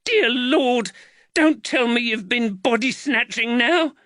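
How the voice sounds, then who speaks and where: A man voicing an angry, exasperated working-class mother in a shrill, scolding way for a storybook. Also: takes it slowly, bright, forceful tone, no echo, studio quality, exaggerated voice. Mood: shrieking, scolding. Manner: scolding, emphatic.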